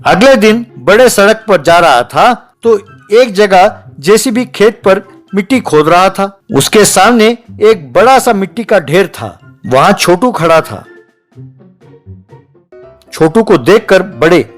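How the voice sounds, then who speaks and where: A man's voice: high-pitched, expressive, slow pace, faint music behind. A man narrating a scripted comic village tale as a storyteller.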